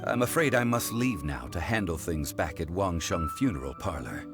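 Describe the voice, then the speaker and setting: A man playing a composed, erudite gentleman in a video game, speaking formally, thoughtfully and deliberately. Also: low voice, lively intonation, music in the background. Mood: grave counsel. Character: composed, erudite gentleman consultant, grave and measured. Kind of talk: video game character acting.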